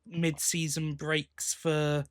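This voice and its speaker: A man with a slightly high voice talks as a podcast co-host. His delivery is casual and rambling, with hesitations and little questions tacked onto the ends of his thoughts. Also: flat delivery, drawn-out words. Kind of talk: casual chat about audio drama releases.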